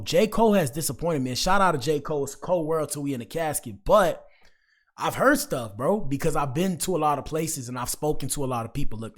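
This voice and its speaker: An American man doing casual, slangy livestream commentary, speaking directly to his viewers as he reacts to clips. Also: medium pitch.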